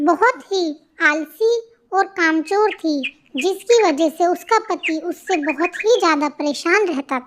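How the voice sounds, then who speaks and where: Female storyteller, very high pitch, scripted children's narration, faint birdsong and noise behind.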